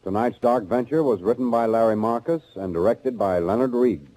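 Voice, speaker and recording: A man's voice: mid-range voice, moderate pace, mellow. A man reading scripted credits as an announcer in formal 1940s radio style. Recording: muffled 1940s radio recording, faint music.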